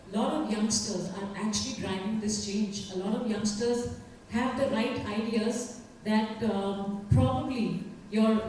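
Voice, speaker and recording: A woman's voice: medium pitch, warm tone. A female panelist speaks with direct encouragement. She is heard from a distance in a heavily echoing hall with light background noise. The recording has a roomy sound. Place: large conference hall. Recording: echoey conference hall from far off.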